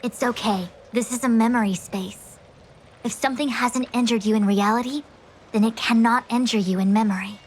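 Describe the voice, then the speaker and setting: An actress playing a composed, imperious, analytical woman seer in a game, speaking in a measured, formal way. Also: fairly high pitch, steady tone, natural voice, poor audio. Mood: brisk, commanding. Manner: measured, formal, matter-of-fact. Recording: music behind the voice. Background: music.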